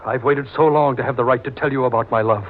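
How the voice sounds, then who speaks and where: An actor playing an obsessive, jealous lovestruck man in an old-time radio drama, pleading insistently with melodramatic emphasis, in a husky voice. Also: mid-range voice, fairly even intonation, fast talker, natural voice. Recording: muffled vintage radio recording.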